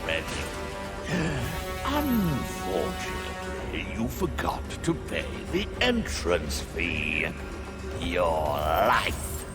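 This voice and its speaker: A greedy demon hoarder as a video game villain. The low, gravelly voice is pompous and theatrical, swooping wildly through drawn-out, menacing phrases. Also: male voice, huge pitch swings, loud music behind the voice, full character voice. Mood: gloating menace.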